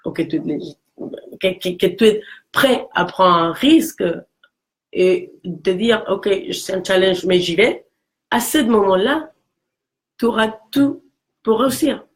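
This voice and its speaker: A woman from Mexico with a Mexican Spanish accent and a low voice, talking earnestly in a casual conversation. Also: expressive, unhurried, pauses often. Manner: earnest, encouraging, with frequent restarts.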